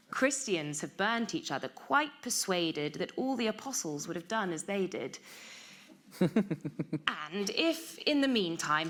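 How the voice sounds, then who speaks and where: A woman reading narrative poetry aloud as a performance, with a wry, knowing storyteller's delivery. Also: on the low side. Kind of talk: dramatic poetry recital.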